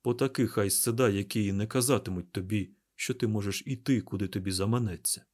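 A man voicing a calm, burly young man in an audiobook, speaking quietly and deliberately. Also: lowish voice, close to an everyday voice. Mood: measured, serious.

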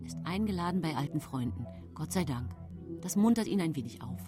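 A woman's voice: deep voice, expressive, a little fast. A woman playing a brooding, secretly murderous character who tells her own story in a radio drama, confiding and controlled. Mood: resentful. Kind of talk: radio drama first-person narration. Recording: music behind the voice.

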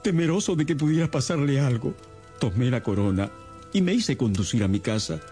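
Un actor que interpreta a un banquero maduro, imponente y angustiado que cuenta su caso en una radionovela. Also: male voice, medium pitch, lively intonation, brisk, warm tone, faint music behind, natural voice. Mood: grave, anxious. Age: middle-aged. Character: imposing, mature, haggard male banker, anxious. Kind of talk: radio drama acting.

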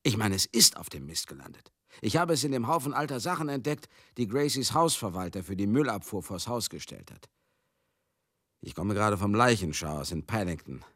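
A rough-mouthed, sentimental showman in a radio play, with a lowish, bright voice that swings widely. He chats theatrically and excitably, close and dry. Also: a little fast, no room sound. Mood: chatty reminiscing. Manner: chatty, theatrical, swinging into outbursts. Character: garrulous, rough-mouthed old showbusiness man, sentimental and excitable. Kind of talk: German radio drama monologue.

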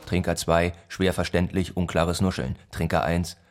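A man narrating a literary radio play about village life in a sombre, measured, deliberate way. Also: deep voice, flat delivery, soft background music. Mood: dry, detached.

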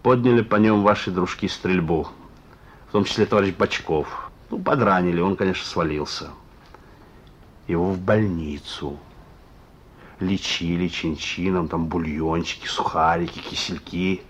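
A man's voice: sits low, moderate pace. A male actor in a radio play as a sullen, wry young thief, curt and slangy, on a muffled recording. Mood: wry, needling. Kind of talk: Russian radio play acting.

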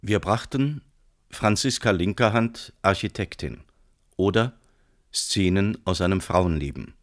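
A male radio announcer with a very deep voice reads scripted credits. His delivery is slow, formal and measured, in a dry studio sound. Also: dry recording.

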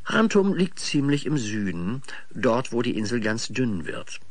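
A man's voice: mid-range voice, sing-song, measured pace, dry recording. A man giving scripted narration as the storyteller of a 1970s children's radio play.

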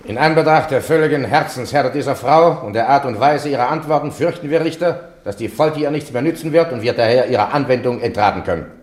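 An actor playing a grave, formal tribunal judge in a radio drama, speaking in measured phrases. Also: male voice, mid-range voice, close to an everyday voice. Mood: grave, official. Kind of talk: classic German radio drama acting. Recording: echoey, roomy radio drama recording.

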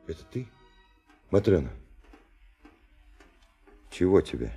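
A man's voice: low voice, very animated, takes it slowly, warm tone, husky, soft background music, exaggerated voice. An actor playing a lonely, melancholy dreamer, narrating his story in a reflective, wistful literary radio drama style. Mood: quiet, weary. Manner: reflective, wistful, breaking into whispers.

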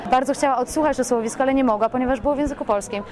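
A woman's voice: high voice, very fast. A woman giving a spontaneous interview as a producer, effusive and rambling, often correcting herself mid-sentence. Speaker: radio play producer being interviewed. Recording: busy crowd chatter behind voice. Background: crowd at a public event.

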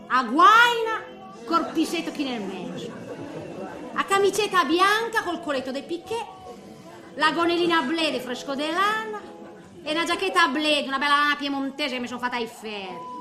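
A woman's voice: sits high, sing-song, moderate pace, music in the background, crowd noise. An actress playing a sentimental, gossipy woman of eighty in a theatrical comic monologue, rambling colloquially with a regional Italian accent. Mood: nostalgic delight. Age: middle-aged. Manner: rambling, colloquial storytelling with exclamations and muttered asides. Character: eighty-year-old Italian care-home woman, sentimental, feisty, gossipy. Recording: echoey stage recording with faint noise.

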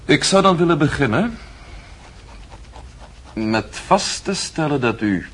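A man's voice: a little high, sing-song, slow, fairly bright tone, a little hiss or hum, heavily acted. An actor playing a mocking, self-assured nobleman in a period radio drama, speaking with ornate, icy politeness. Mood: accusing, controlled.

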